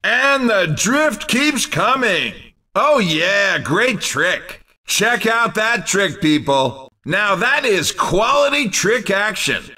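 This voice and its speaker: An actor playing an excited, upbeat race commentator in a video game, calling the action in punchy exclamations. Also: male voice, sits high, takes it slowly, recorded from a distance, heavily acted. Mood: excited, impressed. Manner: exuberant, punchy, exclamatory announcing. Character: hyped-up, upbeat male racing game commentator.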